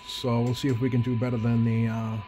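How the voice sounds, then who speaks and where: Halting man thinking aloud, noisy room. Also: mid-range voice, completely flat delivery. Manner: halting, thinking aloud while working with hands. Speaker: radio repair hobbyist, video host. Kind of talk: casual unscripted demonstration talk to viewers. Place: indoor home electronics workbench.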